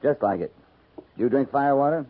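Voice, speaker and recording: An actor playing a steady, dry-witted cavalry captain in a 1950s radio western, terse and understated in his authority. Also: mid-range voice, brisk, lightly acted. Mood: grave, patient. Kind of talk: 1950s radio drama acting. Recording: noisy, tinny 1950s radio recording.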